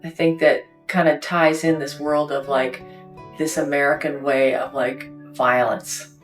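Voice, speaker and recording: A woman's voice: low voice, level tone, a little slow. A woman from Canada in a casual conversation, telling a personal story in a candid way with conversational asides. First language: English. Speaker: host. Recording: faint guitar music behind.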